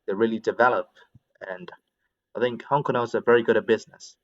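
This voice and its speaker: A man's voice: medium pitch, brisk, highs cut off. A man chatting in an English conversation session, explaining his opinions in a wordy way as he searches for words. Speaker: English conversation practice participant. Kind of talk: casual chat, explaining opinions.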